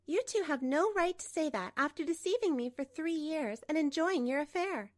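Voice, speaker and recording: A woman playing a composed betrayed wife in a manga dub, narrating and speaking with measured, pointed delivery. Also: high-pitched, lively intonation, dry recording, studio quality. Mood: firm. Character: betrayed wife, composed, coolly resolute, narrating her story.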